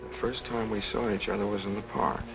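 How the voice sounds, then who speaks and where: A man's voice: lowish voice, flat delivery, fast talker, close to an everyday voice. An American actor playing a guarded, menacing man on the run in a 1940s film noir, speaking tersely and evasively. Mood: firm, evasive. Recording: dull old film soundtrack with music.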